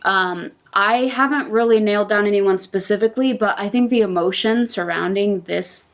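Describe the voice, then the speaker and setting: A woman's voice: medium pitch, moderate pace. A woman chatting casually as a podcast panelist, giving her opinions conversationally.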